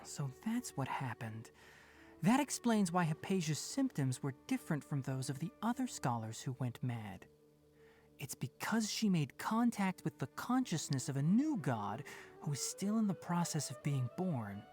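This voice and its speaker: A young male scholar in an anime game, composed and candid. The voice is low for a woman yet moves with lively intonation, explaining in articulate phrases over faint music. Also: normal speed. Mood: thoughtful. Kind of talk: anime video game voice acting.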